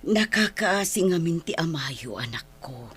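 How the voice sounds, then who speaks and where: Long-suffering Filipino mother, Ilocano accent, low and husky, weary radio drama delivery. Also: big pitch swings, measured pace, full character voice. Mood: resigned, weary.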